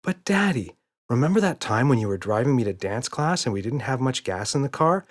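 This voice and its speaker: A man's voice: medium pitch, very animated, a little fast. A man voicing a young girl in a children's storybook, insistent, worried and pleading. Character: little girl, insistent and worried about monsters.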